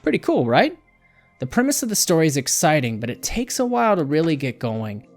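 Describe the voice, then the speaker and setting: A man's voice: fairly high pitch, very animated, a little fast. A man reading a scripted video game review as a voice-over narration. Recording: music behind the voice. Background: background music.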